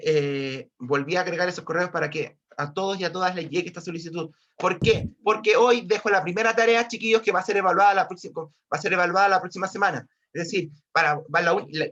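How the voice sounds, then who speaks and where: A Chilean male physics teacher, a little hoarse from a cold, teaching online in a fairly high voice. He restarts sentences and keeps calling to his students, through dull, lo-fi video-call audio. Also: a bit bright. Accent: Chilean Spanish. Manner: repetitive, restarts often, keeps addressing students. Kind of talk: online class lecture.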